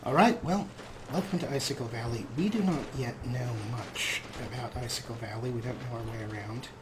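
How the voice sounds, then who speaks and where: A man's voice: medium pitch, fairly quick, background noise. A man hosting a let's play, giving casual game commentary with wry, dry humor. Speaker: gaming video host.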